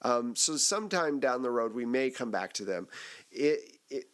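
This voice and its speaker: A man's voice: mid-range voice, lively intonation, moderate pace. A man hosting a casual round-table chat, putting questions to the group in conversational talk. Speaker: game master and host.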